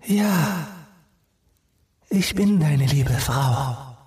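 A man voicing a gentle, sorrowful young queen who returns as a ghost in a fairy tale. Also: medium pitch, expressive, drawn-out words, voice pushed up. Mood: tender.

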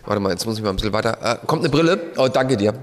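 A man's voice: fairly low pitch, animated, very fast, slight background noise. A man hosting a podcast live on stage, talking in a rambling way with many hesitations. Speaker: podcast host. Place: large live venue hall. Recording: long hall reverb.

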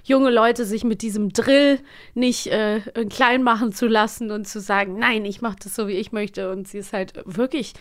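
Higher-voiced, enthusiastic woman podcast host. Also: fairly even intonation, moderate pace. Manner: enthusiastic, emphatic. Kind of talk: casual chat about radio plays.

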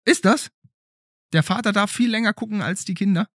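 A man's voice: high voice, brisk, a bit bright, dry recording, studio quality. A middle-aged man chats casually as a podcast host, asking questions in a playful, inquisitive way. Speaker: podcast host interviewing a child. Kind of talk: casual interview chat.

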